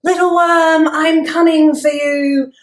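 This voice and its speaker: A woman's voice: high-pitched, little pitch movement, takes it slowly, echoey room, far from the mic, pitched above the performer's own voice. A woman voicing a mock-evil leaf villain in a read-aloud picture book, boastful and threatening. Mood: menacing. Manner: hammy, threatening.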